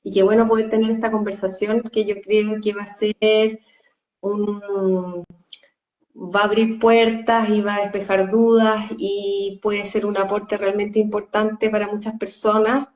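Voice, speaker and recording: A woman's voice: medium pitch, level tone, a little slow, a little mellow. A woman conducting a conversational interview as the host, over a remote call. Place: indoors, remote online call. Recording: roomy, echoey video call.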